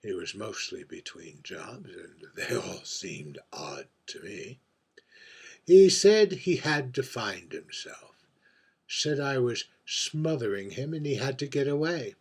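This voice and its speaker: A man playing a husky, world-weary drag performer. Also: mid-range voice, lively intonation, full character voice. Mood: rueful, wry. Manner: confiding, deliberate, swinging from hushed breathy murmurs to emphatic bursts. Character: world-weary, wry drag performer named Trick, confiding over drinks. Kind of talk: theatrical dramatic monologue read by its author.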